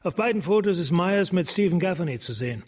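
An actor playing a businesslike senior police officer in a radio drama, terse and authoritative. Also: male voice, high voice, animated, brisk, breathy edge.

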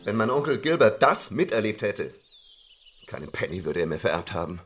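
An actor playing a dapper, excitable British gentleman monster hunter in a radio play, pompous and mannered. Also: fairly low pitch, a little fast, music in the background. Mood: rueful.

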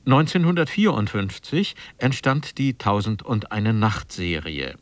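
Radio host guy reading a scripted intro, kinda low voice, formal and measured, really dry studio. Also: no echo. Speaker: radio presenter.

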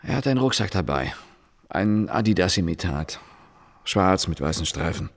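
Husky, low-voiced, terse police inspector. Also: male voice, animated, moderate pace. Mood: sober, brooding. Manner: terse, matter-of-fact questioning. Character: sober, guarded male police inspector. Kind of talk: radio drama dialogue and inner monologue.

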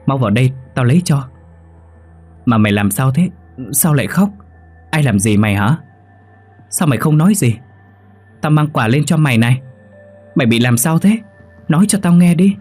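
Casting: male narrator as young female student in horror storytelling, sick and frightened, raised pitch, urgent tearful pleas, soft music behind. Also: animated, close to an everyday voice. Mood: worried pleading. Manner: urgent calling, halting tearful speech.

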